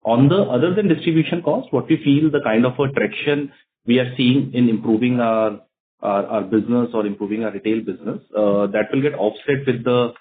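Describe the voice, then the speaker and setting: A man's voice: mid-range voice, brisk, a little hiss or hum. A man with an Indian accent explaining things as a company executive answering questions on an earnings call. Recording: narrow phone conference line.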